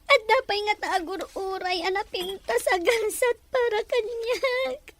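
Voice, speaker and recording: A woman's voice: very high voice, measured pace, a bit bright. An actress playing a tearful young woman pleading to be believed, with an Ilocano accent, in a melodramatic radio drama. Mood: despairing. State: crying, sobbing.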